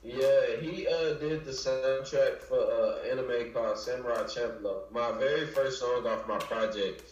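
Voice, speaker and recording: A man's voice: medium pitch, unhurried, recorded from a distance, faint music behind. A man from Chicago, a musician guest, talking in a laid-back, slangy way and often saying "you know what I'm saying.". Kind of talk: casual interview chat. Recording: echoey lo-fi room, voice from off-mic.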